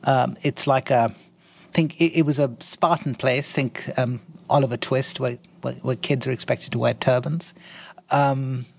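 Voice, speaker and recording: A man in his sixties from India, a guest in an interview, speaking in an articulate, measured way with frequent ums and restarts. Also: mid-range voice, fairly even intonation, gravelly. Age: 60s. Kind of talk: interview conversation.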